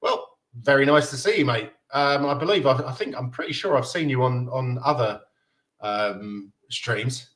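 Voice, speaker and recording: A man's voice: mid-range voice, normal speed, fairly bright tone. A man from Denmark hosting a casual football fan livestream, chatting in a rambling, matey way. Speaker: livestream host.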